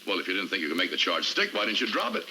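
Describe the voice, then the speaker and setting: An actor playing a harried, placating boss in a prosecutor's office in an American crime drama, brisk, weary and appeasing. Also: male voice, low-pitched, huge pitch swings, background noise, exaggerated voice. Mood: unconcerned.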